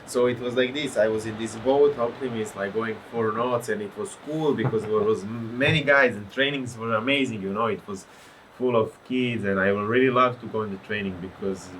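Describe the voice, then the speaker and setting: A man with a Croatian accent and a fairly low voice, speaking as a guest on a casual podcast. He tells his story in an animated way, often pausing to search for the right word. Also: a little hiss or hum, rough recording. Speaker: guest, pro windsurfer.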